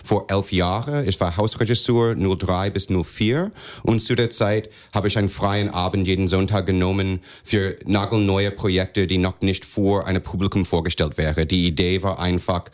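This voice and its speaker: A middle-aged American man with a very low voice. He is a native English speaker with an American accent, explaining things as a studio interview guest in non-native phrasing. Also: steady tone, moderate pace. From rural upstate New York, USA. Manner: non-native German with grammatical slips. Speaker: theatre director, interview guest. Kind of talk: radio interview. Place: radio studio.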